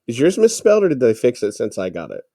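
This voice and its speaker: A man's voice: mid-range voice, wildly swooping pitch, quick pace, warm tone, no echo. Dry-sounding man co-hosting a podcast. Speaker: podcast co-host. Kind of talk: casual chat, informal explaining.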